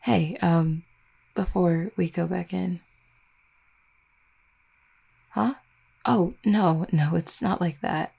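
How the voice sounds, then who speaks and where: A woman playing a tender, anxious young vampire girlfriend in an intimate audio roleplay, soft-spoken and halting, with a breathy, gravelly low voice. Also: heavily acted. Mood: tender, hesitant.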